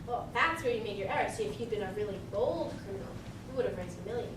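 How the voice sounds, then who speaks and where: A woman playing a timid, neurotic male accountant in an amateur stage comedy, fussy and highly strung. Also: expressive, fast, lightly acted. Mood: precise, musing. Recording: very echoey distant recording with clear noise.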